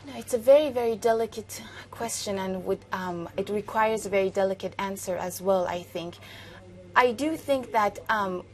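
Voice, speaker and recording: Woman, 30s, native Farsi speaker, author interview, thoughtful, measured, pauses with fillers. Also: medium pitch, a little mellow. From Iran. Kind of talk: broadcast interview.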